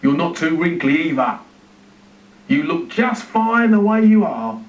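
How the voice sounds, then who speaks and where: A man's voice: fairly high pitch, sing-song, a little slow, voice pushed up. A man voicing a self-important, self-pitying zookeeper who mutters and exclaims dramatically to himself in a children's storybook. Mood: cheery, relieved. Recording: roomy, distant TV speaker playback.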